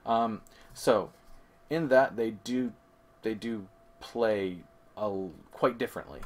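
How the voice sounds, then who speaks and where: Deep-voiced man explaining casually. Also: slow. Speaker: board game review co-host. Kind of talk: casual comparison chat.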